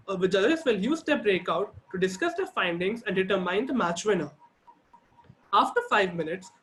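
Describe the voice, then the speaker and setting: A man's voice: high voice, slight background noise, rough recording. Formal man running a video debate. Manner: formal, steady, procedural. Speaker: debate timekeeper and facilitator. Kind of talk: reading a scripted welcome and debate rules.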